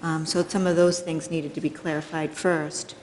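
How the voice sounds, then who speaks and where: A middle-aged woman discusses board business in a somewhat low voice. Her pitch hardly moves. The hall adds long reverb. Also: level tone, normal speed, a little mellow. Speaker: school board member. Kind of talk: meeting discussion. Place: indoor council chamber. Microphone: gooseneck microphone on dais. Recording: reverberant meeting hall, faint noise.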